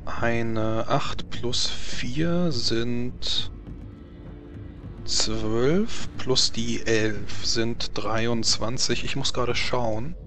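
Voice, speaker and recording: A man acts as game master for a tabletop roleplaying game, improvising narration and handling rules. His pitch is average for a man, and music plays behind him. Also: very slow, pushed and bright.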